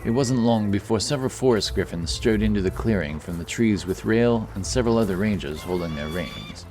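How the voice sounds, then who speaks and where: A man with a low voice narrates a fantasy tale. He tells it in a measured, dramatic way, with music clearly playing behind him. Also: a little mellow. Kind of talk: scripted fantasy audiobook narration.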